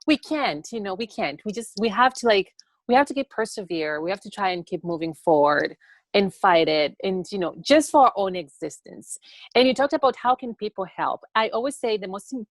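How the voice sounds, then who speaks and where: A young woman from Tanzania answering interview questions on a video call in an earnest, halting way that often repeats words. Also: mid-range voice, animated, no echo. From born in Tanzania. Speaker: interview guest, TikTok educator.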